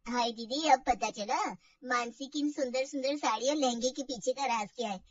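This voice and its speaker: A woman's voice: high voice, moderate pace, far from the mic, lo-fi sound, pitched below the performer's own voice. A woman voicing a teasing, bargain-loving older aunt figure in a family drama story, exclaiming and mock-complaining.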